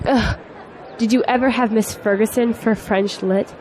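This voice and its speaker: A woman's voice: fairly high pitch, steady tone, measured pace, a little mellow, lightly acted, low-quality recording. An actress playing a spirited young female graduate in an audio drama, teasing yet earnest. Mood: wry, opinionated. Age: young adult. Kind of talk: scripted audio drama dialogue. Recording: faint noise, outdoor crowd ambience.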